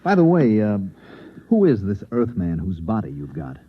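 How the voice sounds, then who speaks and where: A man's voice: fairly low pitch, wildly swooping pitch, normal speed, slightly rough, heavily acted. An actor in an old-time radio drama playing a patient, skeptical newspaperman, soothing and colloquial with small hesitations. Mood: probing. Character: patient, skeptical newspaperman humoring a troubled stranger. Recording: tinny, narrow-band old radio recording.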